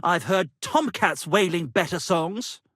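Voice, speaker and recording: A melodramatic male minstrel from a medieval video game. His delivery is florid and unhurried, swinging widely in pitch. He sounds vain and easily affronted, recorded dry and close. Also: animated, fairly bright tone, dry recording, exaggerated voice. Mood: pompous, disdainful. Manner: florid, theatrical, declamatory. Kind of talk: video game character acting.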